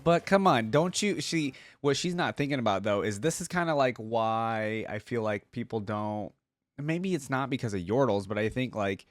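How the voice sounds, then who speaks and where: A man's voice: mid-range voice, animated, normal speed, no echo, clean studio sound. A man hosting a reaction video, giving casual fan commentary. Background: music.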